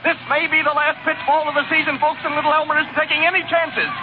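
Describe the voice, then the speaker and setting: An actor playing an excitable male radio announcer calling a baseball game in urgent 1930s play-by-play style. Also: very high-pitched, fast. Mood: frantic, thrilled. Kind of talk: 1930s play-by-play sports commentary. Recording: tinny, very noisy old radio, roaring crowd.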